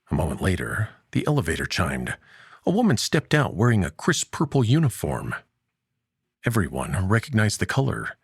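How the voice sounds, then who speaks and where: A male storyteller reads dramatic fiction in a gravelly, fry-laden voice. His pitch moves very widely from line to line, and the recording is dry, with measured pauses for weight. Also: dry recording. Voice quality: gravelly, husky. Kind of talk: dramatic scripted fiction narration.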